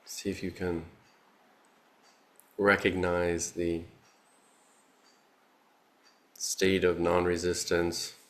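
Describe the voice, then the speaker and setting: Man, meditation guide, very monotone, slow unhurried delivery, long pauses. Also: low-pitched. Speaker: meditation teacher.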